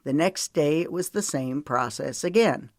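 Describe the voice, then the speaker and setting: A woman's voice: low voice, expressive, moderate pace, darkish tone, no room sound, studio quality. A woman narrator voicing a protective, weary man in an audiobook, tender but stern, with an earnest, lecturing manner. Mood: thoughtful. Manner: earnest, lecturing, folksy storytelling.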